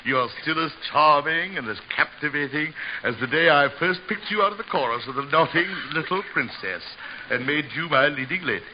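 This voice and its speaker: An actor playing a vain, hot-tempered old theater star in a radio comedy, grandiose and declamatory. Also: male voice, fairly high pitch, animated, fairly quick, lightly acted. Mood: placating. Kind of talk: old-time radio situation comedy acting. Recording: muffled, hissy old-time radio recording.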